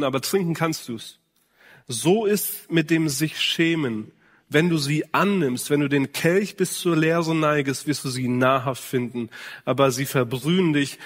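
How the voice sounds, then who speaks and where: A man preaching a sermon in speech, addressing listeners earnestly and directly. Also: a little high. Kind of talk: sermon to men. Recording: long hall reverb.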